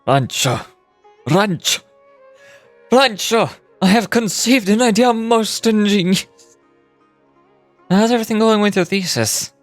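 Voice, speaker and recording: A man's voice: high voice, lively intonation, a little slow, long pauses, no echo, faint music behind. A man playing a fussy, formal scholar in theatrical video game dialogue. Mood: excited.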